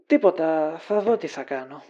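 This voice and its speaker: Woman as a mellow, animated, lovesick young man. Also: deep voice, slightly rough. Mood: resigned. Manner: casual, guarded, wistful. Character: lovesick, reluctant, self-deprecating young man. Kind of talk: conversational audio drama acting. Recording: dull lo-fi audio.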